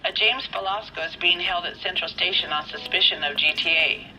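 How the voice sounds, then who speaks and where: An actress playing a businesslike police dispatcher in a crime drama game, brisk and matter-of-fact. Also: female voice, on the low side, crowd noise, natural voice. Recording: noisy, clicking radio or telephone line.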